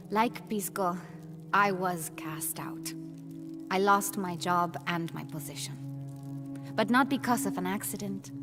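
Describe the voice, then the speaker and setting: A woman's voice: medium pitch, close to an everyday voice. Sincere steadfast outcast village woman actress. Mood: candid. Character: selfless, steadfast outcast woman, former midwife, weaver. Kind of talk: video game dialogue acting. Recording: game music behind the voice. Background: music.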